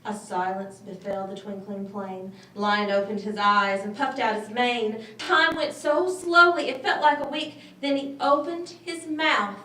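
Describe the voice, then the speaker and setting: A woman reading a children's story aloud with expressive, dramatic phrasing. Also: on the high side, unhurried, few pauses. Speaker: storybook reader. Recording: roomy, distant mic, faint noise.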